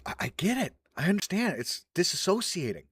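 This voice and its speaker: A man's voice: high-pitched, big pitch swings, fairly quick, fairly bright tone, slightly rough. A man commenting on a video in a blunt, scornful, ranting way. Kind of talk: reaction commentary.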